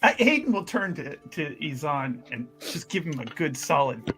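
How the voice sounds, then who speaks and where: Male roleplay gamer, casual improvised narration and dialogue, soft music underneath. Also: a little high, big pitch swings, normal speed. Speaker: tabletop roleplaying player. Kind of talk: casual improvised in-character play. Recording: music behind the voice. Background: background music.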